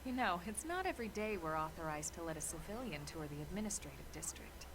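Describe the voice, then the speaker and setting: A woman playing a serious military officer in a video game, explaining things in a measured, businesslike manner. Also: lowish voice, lively intonation, background noise, close to an everyday voice. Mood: composed. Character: composed, serious adult female military officer guiding a recruit. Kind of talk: English-language video game voice acting.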